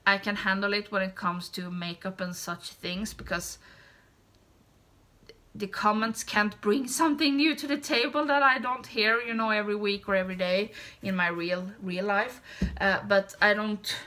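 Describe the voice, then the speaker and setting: A woman with a Swedish accent, a native Swedish speaker, chatting casually to the camera in a light voice, rambling and hesitant, trailing off softly. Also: medium pitch, fairly even intonation, normal speed. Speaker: beauty YouTuber. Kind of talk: casual tag video chat.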